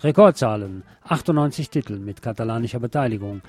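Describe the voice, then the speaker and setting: A man's voice: mid-range voice, lively intonation, fast, darkish tone. Man reading scripted news over music. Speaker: news presenter.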